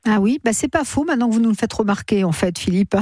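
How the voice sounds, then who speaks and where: A woman's voice: fairly high pitch, animated, quick pace, darkish tone, a little hiss or hum. A woman hosting a late-night call-in radio show, attentive, drawing her caller out with short questions. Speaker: radio host.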